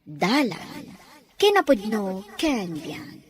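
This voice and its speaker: A woman's voice: mid-range voice, sing-song, slow pace, a little hiss or hum. A woman narrating and announcing a radio drama in a scripted style.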